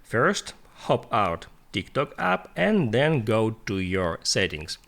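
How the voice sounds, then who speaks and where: A man who is a non-native English speaker narrates a step-by-step tutorial in a sing-song, halting way. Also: fairly low pitch, sing-song, gravelly, a bit bright. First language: not English. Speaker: tutorial presenter.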